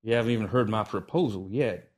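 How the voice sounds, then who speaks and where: A cocky young man itching to be a gunfighting legend, read by a male narrator in an audiobook western. His voice sits low and sounds close to the reader's own, and he presses his questions bluntly and pushily. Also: fast, lightly acted. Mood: pushy. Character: young brash would-be gunslinger man. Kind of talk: audiobook character voice.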